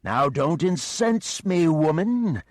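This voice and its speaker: A man's voice: on the high side, sing-song, takes it slowly, darkish tone, no echo, clean studio sound, pitched above the performer's own voice, full character voice. A man voicing a huge male dragon that is courteous yet threatening, in a read-aloud story. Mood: menacing. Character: huge menacing male sea dragon, mock-polite.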